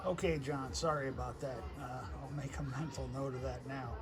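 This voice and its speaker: A man chatting casually as an amateur radio operator, halting and easygoing, recorded outside on a porch. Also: medium pitch, fairly even intonation, a loud crowd. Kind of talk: casual ham radio conversation. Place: outdoors on a house porch. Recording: noisy outdoor recording with ambience.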